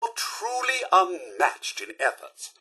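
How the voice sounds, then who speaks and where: A man's voice: high-pitched, wildly swooping pitch, slow, pushed and bright, husky, full character voice. A man playing a chipper, fussily courteous robot butler in a video game, with a British accent and a pompous, prim, formal manner. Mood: prim disapproval. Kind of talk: theatrical video game character lines.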